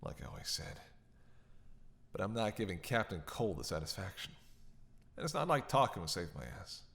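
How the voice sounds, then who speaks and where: A man playing a starving captive vampire in an audio roleplay, halting and breathy, weak, wry and desperate. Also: on the low side, sing-song, a bit bright, full character voice. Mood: bitter, intense. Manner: halting, wry, intimate address to listener. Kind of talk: dramatic audio roleplay monologue.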